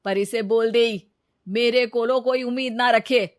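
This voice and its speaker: Unforgiving young husband for a storybook drama, woman acting: slightly high, sharp, accusing, Punjabi accent. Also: fairly even intonation, unhurried, close to an everyday voice. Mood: grudging.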